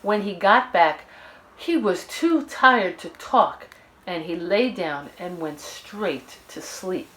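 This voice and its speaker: A woman's voice: lowish voice, lively intonation, takes it slowly, fairly bright tone, low-quality recording. A woman storyteller reading a children's book aloud, expressively and with dramatic emphasis.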